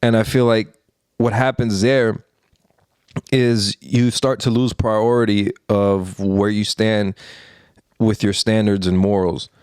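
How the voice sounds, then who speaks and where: A man's voice: on the low side, little pitch movement, takes it slowly, darkish tone, clear, resonant tone, dry recording, studio quality. A man in his thirties co-hosting a podcast, giving his opinions in a rambling, earnest way with many fillers and restarts. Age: 30s. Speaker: podcast co-host. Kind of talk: casual opinion chat.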